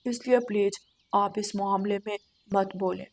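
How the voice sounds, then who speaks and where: A woman's voice: medium pitch, steady tone, unhurried. A woman voicing a caring, protective young husband sparrow who speaks earnestly in a cartoon story. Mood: firm.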